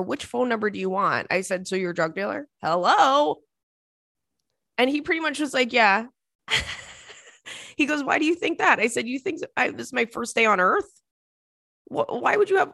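A woman in her thirties with a slightly raspy voice, hosting a podcast from her kitchen table and telling stories in a chatty, animated way. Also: mid-range voice, no room sound, studio quality. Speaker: podcast host. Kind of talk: casual chat.

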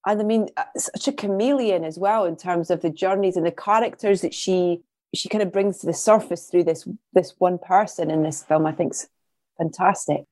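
A woman's voice: on the low side, moderate pace, a little mellow, no room sound. A woman hosting a casual film interview podcast, feeling her way through admiring questions.

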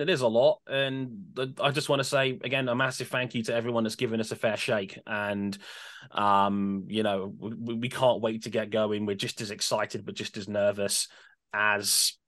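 A man's voice: on the low side, a bit bright, dry recording, studio quality. A young man co-hosting a podcast, speaking gratefully and hesitantly with many fillers. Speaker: podcast co-host. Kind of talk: casual podcast chat.

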